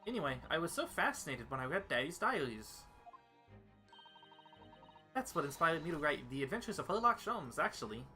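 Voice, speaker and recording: Adult doing a cheerful lil girl for a game fan dub, bright voice, chatty and innocent, music behind. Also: medium pitch, sing-song, moderate pace, pauses often. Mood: confiding. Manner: chatty, childlike, innocent phrasing. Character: precocious, cheerful young girl storyteller, proud of her father.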